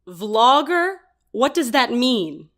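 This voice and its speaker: A woman's voice: fairly high pitch, very animated, takes it slowly, full character voice. An actress playing a haughty, bitter older woman in an audio drama, imperious and condescending. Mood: haughty, indignant.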